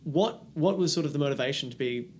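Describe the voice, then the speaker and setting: A man's voice: on the high side, quick pace. A man hosting a casual interview, putting his questions in a hesitant, thoughtful way. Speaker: podcast host, interviewer. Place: hotel room. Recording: background noise in room.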